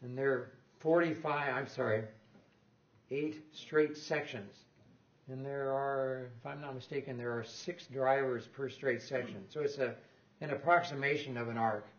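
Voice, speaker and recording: A man's voice: medium pitch, steady tone, measured pace, dull audio. An older man giving an informal technical talk in a lecture room, rambling and correcting himself with small chuckles. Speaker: guest lecturer on loudspeaker design.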